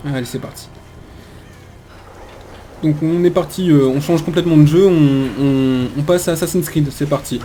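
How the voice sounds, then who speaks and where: Mellow-voiced man doing casual game commentary. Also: fairly high pitch, normal speed, pauses often, slight background noise, poor audio. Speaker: gaming video host. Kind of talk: casual let's play commentary.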